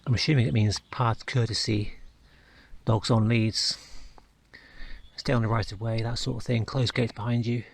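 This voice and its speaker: A man's voice: medium pitch, steady tone, normal speed, gravelly, breathy edge. A man narrating his hike for a walking vlog, thinking aloud with small restarts, recorded on a camera mic outdoors. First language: not a Welsh speaker. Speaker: hiking vlogger. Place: outdoors on a hillside in the countryside.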